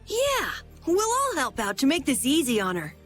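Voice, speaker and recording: A woman voicing an eager, excitable youngster in a video game. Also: sits high, moderate pace, faint music behind, exaggerated voice.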